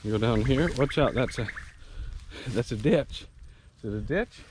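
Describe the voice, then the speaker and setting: A man's voice: mid-range voice, animated, normal speed, background noise. A man casually narrating a vlog outdoors on a rural farm, in an easygoing, joking way. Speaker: vlogger. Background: faint rural outdoor ambience.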